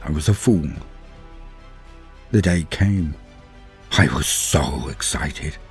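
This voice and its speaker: A man narrating a story in a solemn, theatrical, dramatic style with a husky voice and drawn-out phrases. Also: a bass voice. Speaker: storyteller narrating fan fiction. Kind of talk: dramatic scripted first-person narration. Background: music.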